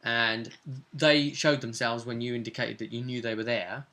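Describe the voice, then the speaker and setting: Guy game master chatting through a tabletop session recap, bright forward voice, lots of "isn't it" style tags. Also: medium pitch, little pitch movement, normal speed. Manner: chatty, conversational storytelling with tag questions. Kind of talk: casual recap of a tabletop role-playing session.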